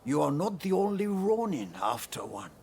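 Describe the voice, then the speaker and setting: A creaky-voiced earnest villager. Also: male voice, a little high, big pitch swings, normal speed, a little mellow, slight background noise. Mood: earnest, helpful. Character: grateful, earnest village man, humble and helpful. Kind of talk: video game cutscene acting.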